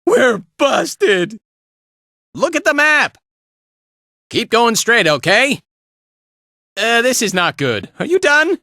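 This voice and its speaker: An easygoing, playful slacker fighter from a video game. He drawls casually and takes his time, with pitch that leaps all over the place. The sound is very dry and close. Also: big pitch swings, takes it slowly, long pauses, sharp tone, no echo, heavily acted. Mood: excited. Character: laid-back, playful, slacker male fighter and guide. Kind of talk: animated video game voice lines.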